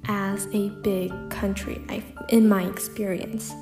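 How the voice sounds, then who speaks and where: A woman's voice: medium pitch, little pitch movement, measured pace. A young American woman hosting a personal solo podcast, speaking reflectively with frequent "like" and "um" fillers. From United States. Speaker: podcast host. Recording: echoey room with faint music.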